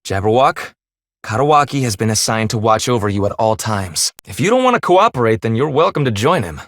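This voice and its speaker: A stern young leader from a video game, with a medium-pitched voice that swings widely as he speaks. He is blunt, formal and commanding, clipped in his phrasing, and sounds very close and dry. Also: sing-song, brisk, fairly bright tone, no room sound. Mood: coldly warning. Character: stern, blunt young male half-human leader of escaped prisoners. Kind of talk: English video game voice acting.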